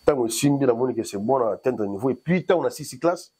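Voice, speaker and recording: A man from Congo talks as the guest in a relaxed interview. His voice is husky and warm. He rambles loosely and mixes French with Lingala as he goes. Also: mid-range voice, quick pace. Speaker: guest musician. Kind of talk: casual interview chat. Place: in Paris.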